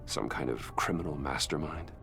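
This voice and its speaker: An actor playing a guarded, wealthy businessman in a video game, courteous and measured but defensive. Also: a bass voice, fairly bright tone, slight background noise. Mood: wary, defensive. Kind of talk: video game dialogue acting.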